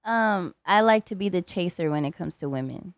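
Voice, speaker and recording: A woman's voice: on the low side, moderate pace, no echo. Blunt, profane young woman guest, dry sound. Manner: blunt and profane, heavy use of "like"; animated, slips into voiced quotes. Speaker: podcast guest. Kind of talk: casual interview chat.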